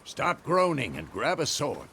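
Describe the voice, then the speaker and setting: An actor playing a gruff, stern old male witcher mentor in a game cutscene. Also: mid-range voice, unhurried, slight background noise, heavily acted. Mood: lecturing. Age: older. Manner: stern, grumbling, lively delivery. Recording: game cutscene with loud music.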